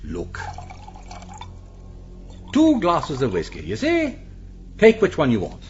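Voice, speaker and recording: An actor playing a smug, scheming English gentleman murderer in a radio mystery, suave, condescending and dryly sarcastic. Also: on the high side, wildly swooping pitch, slow pace, a little hiss or hum, full character voice. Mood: smooth, condescending. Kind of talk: radio mystery drama acting. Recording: slightly dull, muffled audio.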